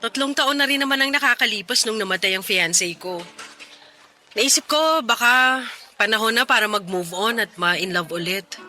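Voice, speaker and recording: A woman playing an excited, smitten single woman in a radio drama, with a Filipino accent and a bubbly, coy, playful manner. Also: on the high side, moderate pace, a little hiss or hum. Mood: hopeful. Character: excited, smitten single woman chatting with her best friend. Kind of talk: Tagalog radio drama dialogue. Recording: music bed behind the dialogue.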